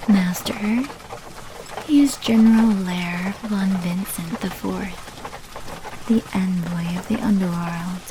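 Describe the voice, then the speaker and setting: A woman playing a sly, menacing vampire mafia manager in an ASMR roleplay, speaking in a hushed voice that often drops into whispers. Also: fairly low pitch, takes it slowly. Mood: ominous, teasing. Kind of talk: ASMR roleplay, scripted character monologue. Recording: clearly noisy background.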